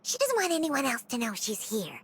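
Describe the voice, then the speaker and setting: Tiny squeaky fairy companion, extremely high. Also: female voice, huge pitch swings, brisk, fairly bright tone, breathy edge, exaggerated voice. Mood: awkwardly reassuring. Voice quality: squeaky, childlike. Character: tiny chirpy childlike fairy companion, helpful and earnest. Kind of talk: video game voice acting.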